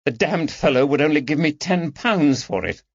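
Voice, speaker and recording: A man's voice: fairly high pitch, expressive, normal speed, no room sound, highs cut off, voice pushed up. A man voicing a frightened, resentful young swindler in an audiobook, defensive and pleading. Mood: pleading, aggrieved. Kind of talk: audiobook character dialogue.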